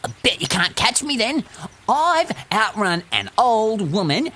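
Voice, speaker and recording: A woman's voice: fairly high pitch, sing-song, a little slow, fairly bright tone, slight background noise. A woman voicing a cheeky, boastful little gingerbread boy in a children's audio story, with a sing-song, taunting delivery.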